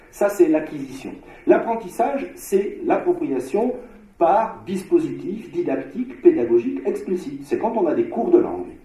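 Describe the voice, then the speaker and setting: A man from Marseille giving an academic conference lecture, discursive, with frequent filler pauses and restarts. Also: a little high, warm tone, reverberant, low-quality recording. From Marseille, France. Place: lecture hall.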